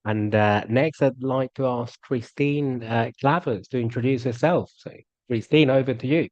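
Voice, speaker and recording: A man's voice: mid-range voice, normal speed, darkish tone, dry recording. Hesitant man moderating a video call. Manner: hesitant, many fillers. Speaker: webinar moderator. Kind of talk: hosting an online panel. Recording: dull, dry video call audio.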